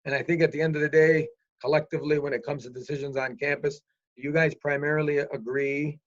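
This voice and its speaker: Forceful older man, muffled recording. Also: flat delivery, quick pace. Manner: forceful, repetitive opinionated talk. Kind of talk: panel discussion. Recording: muffled low-fidelity recording.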